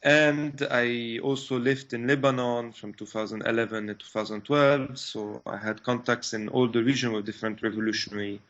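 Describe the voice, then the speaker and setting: A man's voice: lowish voice, fairly bright tone. Syrian-born man on a dull video call. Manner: earnest, halting with many fillers and restarts. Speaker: guest activist. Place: small room indoors. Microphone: video call webcam.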